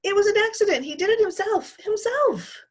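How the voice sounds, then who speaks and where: A woman voicing a whiny young girl who pleads in a high, tearful voice. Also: animated, moderate pace. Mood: pleading.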